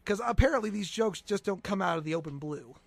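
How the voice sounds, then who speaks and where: Young male radio host, high-pitched voice, rambling and jokey interview chat, close dry studio sound. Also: expressive, fast, vocal fry, dry recording. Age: young adult. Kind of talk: chatty college radio interviewing.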